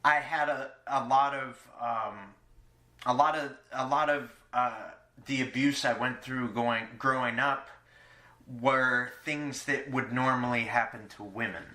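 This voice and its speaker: A man hosting a podcast, speaking haltingly and hesitantly in a personal, philosophical conversation. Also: mid-range voice, steady tone, sharp tone. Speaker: podcast host, interviewer. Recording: echoey room sound.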